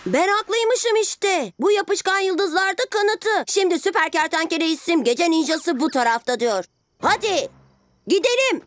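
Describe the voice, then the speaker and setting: A woman's voice: extremely high pitch, expressive, normal speed, fairly bright tone. A woman voicing an eager, stubborn six-year-old boy superhero in a children's cartoon dub, overconfident and insistent. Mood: stubbornly defensive. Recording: faint music behind the voice.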